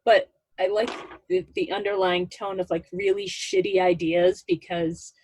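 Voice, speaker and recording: Casting: woman, middle-aged, husky voice, halting casual talker discussing creative work, dry sound. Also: lowish voice, flat delivery, a little mellow, dry recording, low-quality recording. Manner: halting, thinking aloud. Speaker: voice actor in a serialized audio drama. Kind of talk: casual video-call discussion of characters. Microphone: video call.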